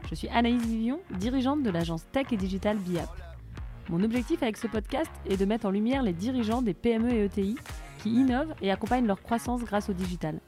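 Brisk woman podcast host. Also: on the high side, mellow, soft background music. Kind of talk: scripted intro, then interviewing.

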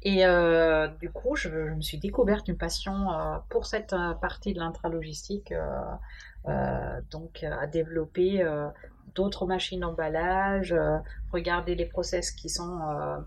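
A German-born woman in her fifties with French as a second language, talking about her career in an interview, full of pauses and fillers. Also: lowish voice, vocal fry. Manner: hesitant, many fillers. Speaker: guest executive. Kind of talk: podcast interview.

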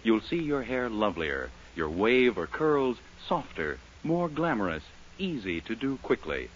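A man's voice: fairly low pitch, very animated, unhurried. An American man announcing and reading commercials in a polished, emphatic 1940s radio style. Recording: muffled, faintly hissy 1940s radio recording.

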